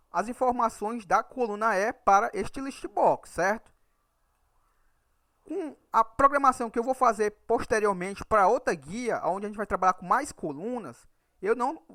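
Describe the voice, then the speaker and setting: A man's voice: sits high, sharp tone, no room sound, clean studio sound. A man from Ceará with a northeastern Brazilian accent, teaching a programming tutorial and checking in with "right?" after nearly every point. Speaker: Excel VBA instructor. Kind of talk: screen-recorded programming tutorial.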